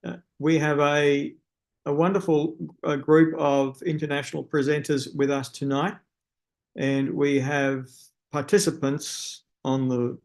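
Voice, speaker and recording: A man's voice: on the high side, level tone, a little slow, a little mellow. A man with an Australian accent hosting an online symposium over a video call, friendly, with frequent fillers and restarts. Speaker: webinar host. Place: speaking from a room in a city at night.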